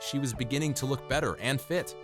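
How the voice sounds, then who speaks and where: A man's voice: mid-range voice, fairly quick, close to an everyday voice. A man playing an ordinary young office worker and loyal boyfriend, narrating and acting in a manga dub over music, earnest and easily exasperated. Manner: earnest, exasperated when provoked. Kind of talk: dubbed manga narration and dialogue.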